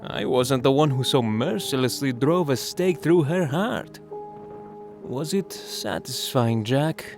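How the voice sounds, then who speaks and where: A man voicing an ageless, mocking vampire lord in an audio drama, taunting slowly and theatrically. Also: mid-range voice, animated, a little mellow. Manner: silky, taunting, slow and theatrical. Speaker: villain. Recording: music score behind the voice.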